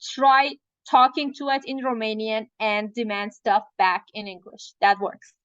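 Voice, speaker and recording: A woman's voice: fairly high pitch, little pitch movement, unhurried, fairly bright tone, no room sound. Woman coach, Farsi native, dull lo-fi sound. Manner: explanatory, frequent fillers. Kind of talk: live online training.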